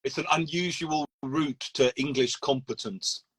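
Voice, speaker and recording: A man's voice: on the high side, unhurried, fairly bright tone, slightly rough, no echo. A man hosting a casual interview, asking curious questions and helping the guest find words. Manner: curious, prompting, supplies words. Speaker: interviewer host.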